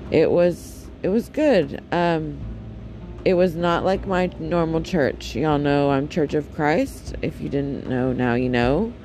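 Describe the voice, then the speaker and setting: A man with a deep, raspy voice, chatting casually and candidly on his solo podcast, with frequent ums and self-corrections. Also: slow, a little mellow, a little hiss or hum. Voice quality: deep and raspy, especially in the morning. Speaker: podcast host.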